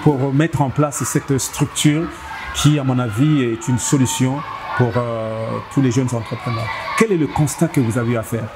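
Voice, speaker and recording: A man hosting a TV interview in a measured way, often adding short acknowledgements. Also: mid-range voice, music in the background. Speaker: interviewer host. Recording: steady background noise.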